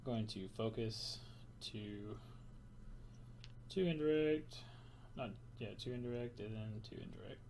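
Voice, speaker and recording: A hoarse man playing a tabletop card game as host, teasing his opponent, swearing and laughing often. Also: on the low side, unhurried, long pauses, darkish tone, slight background noise, poor audio. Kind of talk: casual banter during tabletop card game.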